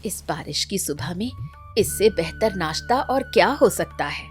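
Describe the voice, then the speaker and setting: A woman playing a gentle, caring, cheerful family woman in a cartoon story, sweet in manner. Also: mid-range voice, wildly swooping pitch, a little slow, soft background music.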